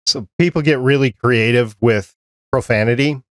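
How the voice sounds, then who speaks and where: A man's voice: medium pitch, normal speed, no room sound, studio quality. A middle-aged man hosting a podcast chat, explaining things in a matter-of-fact, conversational way. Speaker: podcast host. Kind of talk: conversational interview and advice. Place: small cluttered home office, video call. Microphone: desk microphone close up, headset.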